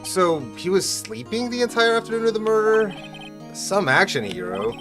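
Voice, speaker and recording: A man's voice: high-pitched, big pitch swings, normal speed, over music, voice pushed up. A man voicing a young defense lawyer who makes dry, sarcastic asides. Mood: wry.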